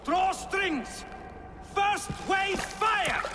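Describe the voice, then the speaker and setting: An actor playing a self-righteous, rabble-rousing leader of archers in a game, speaking in a grandiose, commanding way. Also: male voice, very high voice, extremely slow, background noise, full character voice. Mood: shouted command. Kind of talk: theatrical game cutscene acting.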